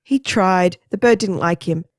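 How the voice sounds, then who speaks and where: A woman voices a kindly, flustered dad, hurried and friendly. The voice is close to the narrator's own. The sound is close and dry. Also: on the low side, no room sound, close to an everyday voice.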